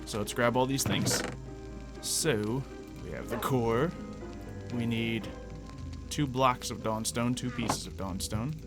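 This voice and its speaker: A man's voice: medium pitch, slow, over music. A man doing casual gameplay commentary with some light roleplay, rambling and thinking aloud as he goes. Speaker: gaming video host.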